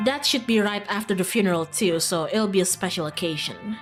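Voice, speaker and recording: A woman's voice: mid-range voice, a little fast, close to an everyday voice. A woman voicing a friendly, easygoing young small-town woman in a visual novel, chatty and upbeat. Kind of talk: visual novel character reading. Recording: music in the background.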